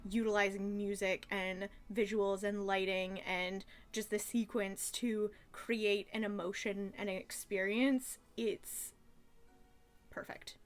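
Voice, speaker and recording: A woman giving casual, rambling commentary as a reviewer, gushing and emphatic in an animated way. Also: mid-range voice, takes it slowly. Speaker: reviewer reacting to a childhood cartoon.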